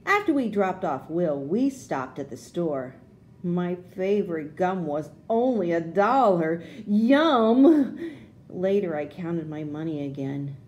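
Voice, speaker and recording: Woman reading a storybook, very lively pitch. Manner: expressive, deliberate phrasing for young listeners. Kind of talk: children's storybook read-aloud.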